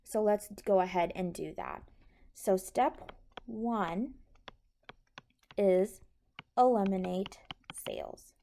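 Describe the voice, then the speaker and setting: Woman, slow pace, soft mellow tone, patient tutorial explanation, noisy recording with clicks. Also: medium pitch, very animated, vocal fry. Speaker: accounting instructor.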